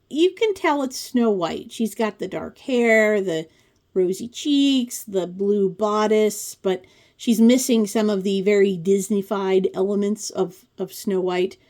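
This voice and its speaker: Woman, forties, medium pitch, chatty, wry, casual craft commentary. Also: darkish tone. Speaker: craft channel host. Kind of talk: casual commentary on a pattern book flip-through.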